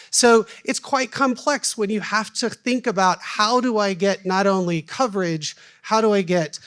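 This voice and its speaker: A man's voice: high voice, a bit bright. A man in his forties with a Southern American accent, moderating a conference panel in a folksy, self-deprecating way with plenty of fillers. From United States.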